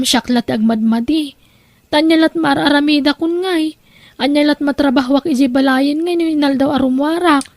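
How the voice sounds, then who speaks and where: Woman acting a high-voiced, long-suffering Ilocano wife. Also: dry recording. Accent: Filipino, Ilocano. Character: long-suffering young wife, affectionate, quietly protesting. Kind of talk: Ilocano audio drama acting.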